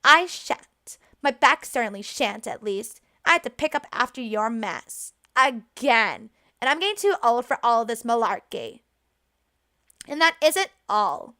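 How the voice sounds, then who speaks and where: A woman voicing a haughty older maid, a smug bully who scolds and mocks with drawn-out theatrical relish. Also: fairly high pitch, sing-song, sharp tone, exaggerated voice. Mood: scolding.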